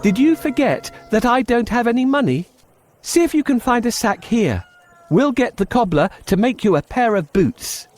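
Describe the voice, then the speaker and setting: A man's voice: very high voice, lively intonation, moderate pace, a little mellow, soft background music. A man voicing a poor, doubtful young man in a children's story, with a plaintive, questioning manner. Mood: practical, warming. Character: poor young male master, doubtful and gloomy.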